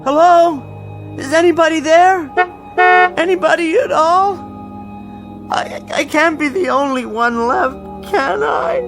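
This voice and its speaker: An actor playing a meek, bookish bank clerk who talks to himself in an old-time radio drama style. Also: male voice, very high-pitched, gravelly, fairly bright tone, heavily acted. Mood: desperate, despairing. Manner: talks to himself, halting repetitions when shaken. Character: meek bookish male bank clerk, dazed lone survivor. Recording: radio drama with music underneath.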